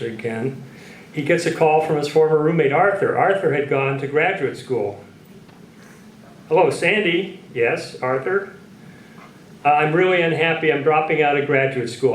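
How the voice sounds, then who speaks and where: Male keynote speaker, measured storytelling, mid-pitched voice, echoey hall, distant mic. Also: a little hiss or hum. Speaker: keynote speaker at an awards ceremony. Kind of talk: speech with storytelling to an audience. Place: large room at a public ceremony.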